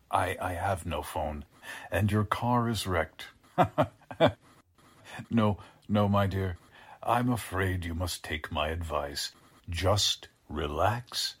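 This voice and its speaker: An actor playing a courtly but menacing mad scientist in a 1940s-style radio drama, with formal, pompous, halting delivery. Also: male voice, deep voice, husky, exaggerated voice.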